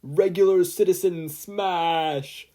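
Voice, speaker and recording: A man's voice: high-pitched, fairly even intonation, takes it slowly, mellow, voice pushed up. A man voicing a hearty, earnest older hero and kindly mentor, speaking in an emphatic, encouraging way for an audiobook. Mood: booming. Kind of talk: audiobook character voice.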